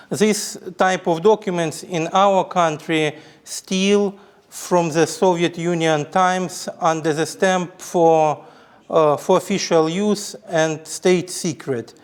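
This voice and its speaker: Ukrainian guy presenting at a conference, slow and hesitant, smooth clear voice. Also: fairly high pitch. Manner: hesitant, many fillers. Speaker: conference speaker.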